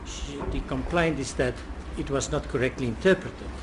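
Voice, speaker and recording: A man with a South African accent questioning a witness in court in a probing, deliberate way. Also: mid-range voice. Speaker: lawyer cross-examining a witness. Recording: noisy, ambient courtroom recording.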